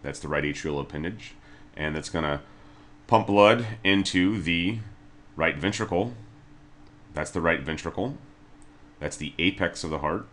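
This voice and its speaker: A man's voice: sits low, a little slow, long pauses, fairly bright tone, slight background noise. A man giving a casual tutorial as an instructor, talking informally and a little hesitantly, step by step. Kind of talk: casual video tutorial narration.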